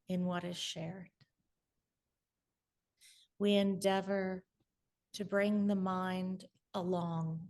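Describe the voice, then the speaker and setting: A woman leading a group in prayer and guided meditation, speaking gently and soothingly. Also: lowish voice. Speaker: meditation leader.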